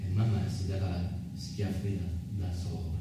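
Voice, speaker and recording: A male actor playing a frayed, troubled southern Italian delinquent in a radio monologue, speaking haltingly and repeating his words in colloquial speech with a southern accent. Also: sits low, level tone. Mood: nervy, confiding. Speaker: actor in a solo radio play. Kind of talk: theatrical first-person monologue. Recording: very echoey, distant, noisy stage-like recording.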